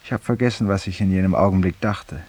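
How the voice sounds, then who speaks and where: A male actor playing a weary, ironic, haunted man who confesses to a stranger in a deliberate, courteous, literary monologue, in the style of 1950s German radio drama. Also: deep voice, darkish tone, breathy edge. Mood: haunted, reflective. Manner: literary, deliberate, ceremoniously polite, confiding. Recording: hissy 1950s radio recording, distant voice.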